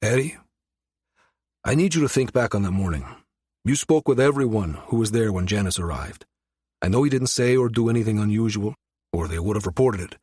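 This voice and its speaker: A man voicing a grave, inscrutable investigator with a husky, deliberate delivery in an audiobook. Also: on the low side, animated, darkish tone, no echo. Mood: serious, probing. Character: grave, inscrutable male investigator, measured and probing. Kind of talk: audiobook character dialogue.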